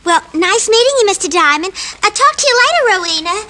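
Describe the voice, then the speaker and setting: A woman's voice: very high voice, animated, fast talker, bright, forceful tone, full character voice. An actress playing a chirpy, weary carnival girl in old radio detective drama style. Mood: offhand. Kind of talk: 1940s-50s radio detective acting. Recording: old radio recording, faint noise.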